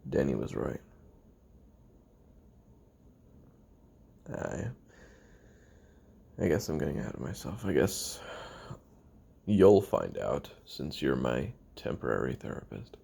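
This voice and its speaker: A man acts out a lonely, worn-out former hitman in his twenties, recording a confessional audio diary for a roleplay drama. His voice is extremely deep and husky, rising and falling in lively swings. He speaks haltingly and broodingly, trailing off into pauses. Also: full character voice. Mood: wistful, resigned. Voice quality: gravelly, husky. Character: weary, lonely 29-year-old retired assassin confessing on tape.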